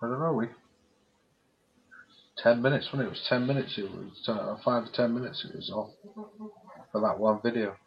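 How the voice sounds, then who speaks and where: A man's voice: medium pitch, moderate pace, long pauses, slight background noise. A man in casual chat on a stream, colloquial and given to swearing. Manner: swearing, laughing, colloquial British slang. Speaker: stream co-host. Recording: dull lo-fi sound.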